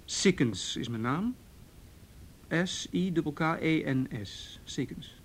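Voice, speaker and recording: A man's voice: fairly high pitch, lively intonation, slow, a little hiss or hum. An actor playing a patient, courteous and slightly touchy policeman in a naturalistic radio drama. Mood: patient, official.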